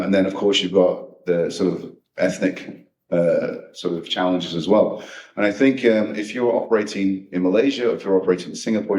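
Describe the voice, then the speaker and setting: A man's voice: low-pitched, a little mellow. A man from the UK answering audience questions at a live coaching talk, frank and conversational. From United Kingdom. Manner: frank, conversational, many restarts. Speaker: speaker at a coaching event. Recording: echoey hall, distant mic.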